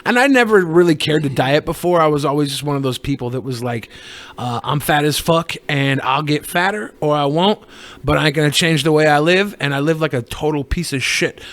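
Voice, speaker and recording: A man's voice: on the high side, fairly bright tone. A man hosting a podcast in casual, profane chat, rambling and joking. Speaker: podcast host.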